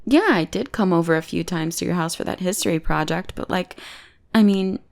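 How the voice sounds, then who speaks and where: Woman acting a creaky-voiced, teasing goth bully girl. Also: lowish voice, a little fast. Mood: sweetly polite. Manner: playful, taunting, drops into breathy whispers. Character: teasing, possessive young goth bully girl, secretly smitten. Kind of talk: ASMR roleplay acting.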